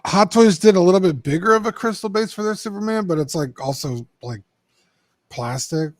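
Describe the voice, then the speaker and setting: A man's voice: high-pitched, animated, fairly quick, no room sound. A young man co-hosting a casual collectibles podcast, rambling with lots of ums and the odd swear. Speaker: co-host.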